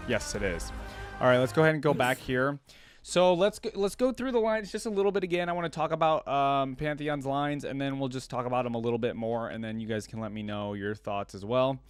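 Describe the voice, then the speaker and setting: A man's voice: medium pitch, a little fast, smooth, dry recording, soft background music. A man hosting a reaction video, commenting casually on game voice lines and musing aloud with frequent questions.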